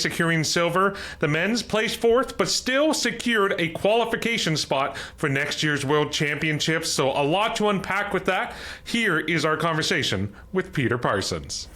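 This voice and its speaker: A man's voice: fairly high pitch, pushed and bright. A man in his thirties hosting a podcast, upbeat and playfully teasing, with frequent "uh" fillers. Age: 30s. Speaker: podcast host.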